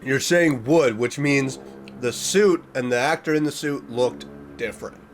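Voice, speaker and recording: A man's voice: medium pitch, a little slow, slight background noise. A man giving casual reaction commentary, reading aloud and reacting expressively, drawing out words for emphasis. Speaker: reaction video host.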